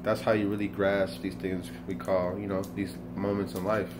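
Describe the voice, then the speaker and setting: A man's voice: low voice, flat delivery, normal speed, noisy background. A man in his twenties talking earnestly in a rambling motivational vlog, restarting and repeating himself often. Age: 20s. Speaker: motivational vlogger. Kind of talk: casual motivational monologue.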